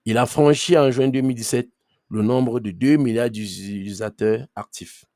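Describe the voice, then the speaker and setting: A man's voice: medium pitch, normal speed, mellow, no room sound, clean studio sound. A man teaching a live online class. He talks conversationally, keeps checking in with "ok?" and firmly tells late arrivals to mute their mics. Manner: firm with late students about muting. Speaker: trainer.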